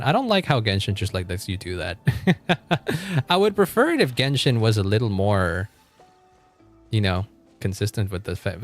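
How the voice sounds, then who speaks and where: A man livestreaming a game, chatting casually with viewers in a rambling, informal way with some mild swearing. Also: lowish voice.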